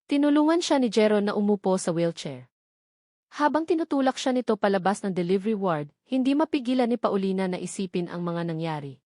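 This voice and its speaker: A woman's voice: medium pitch, animated, a little fast, dark tone, no room sound. Filipino woman narrating romance, close dry sound. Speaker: audiobook story narrator. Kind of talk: scripted dramatic narration of a romance story.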